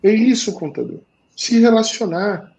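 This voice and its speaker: Man, Portuguese accent, blunt slangy delivery, animated swings, slightly high pitch. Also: unhurried, creaky, slight background noise. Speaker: sales coach for accountants. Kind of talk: live talk show advice.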